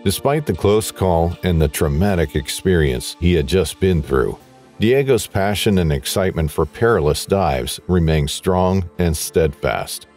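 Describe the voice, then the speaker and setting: A man with a deep, low voice reads a scripted documentary narration. His delivery is even and measured. A faint music bed plays beneath him.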